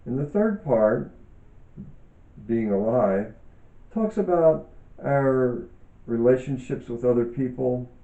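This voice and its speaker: A man reads a reflective self-help text at a slow, deliberate pace, leaving frequent pauses between short phrases. His voice is very soft-edged and dark. The recording is dull, with noticeable room echo. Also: mid-range voice. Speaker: author and yoga teacher reading from own book. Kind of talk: measured reading aloud, reflective self-help teaching.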